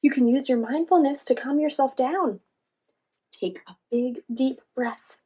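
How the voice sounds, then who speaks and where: Woman as a high-voiced, encouraging storybook leader. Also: measured pace, mellow, slightly rough. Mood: reassuring. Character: kind, encouraging female friend and calm leader.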